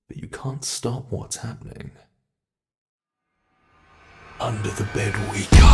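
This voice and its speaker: Creepy monster under the bed for horror asmr, gravelly n slow, gleeful taunting, theatrical, bit of background noise. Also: male voice, medium pitch, a little mellow. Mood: menacing, mocking. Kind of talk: ASMR horror roleplay, addressed to the listener.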